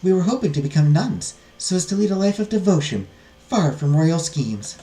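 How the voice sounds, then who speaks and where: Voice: mid-range voice, animated, normal speed, warm tone, echoey room, pitched above the performer's own voice, natural voice, rough recording. A performer voicing a young fugitive princess, earnest, weary and courteous, with formal storybook phrasing. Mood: hopeful, earnest.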